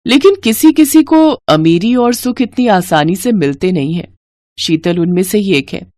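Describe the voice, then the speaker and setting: A woman storyteller reading a scripted bedtime moral tale in an expressive narrating style. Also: mid-range voice, unhurried.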